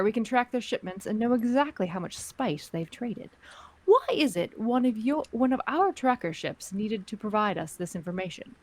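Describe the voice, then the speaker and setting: A woman plays a ruthless female syndicate boss in a space crime drama. Her delivery is imperious and deliberate, with icy menace and widely swinging intonation. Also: mid-range voice, dry recording. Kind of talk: fan script table read, dramatic acting.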